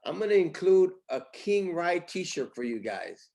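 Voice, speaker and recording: A man speaking as a company boss in a casual interview, pitching with emphatic, repetitive phrasing. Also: big pitch swings, unhurried, gravelly, darkish tone. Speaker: company CEO, interview guest.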